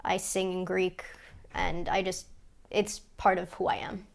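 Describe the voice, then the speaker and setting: A woman's voice: on the low side, flat delivery, measured pace, gravelly. Sincere young woman student. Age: young adult. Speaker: music therapy student. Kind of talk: documentary interview answers.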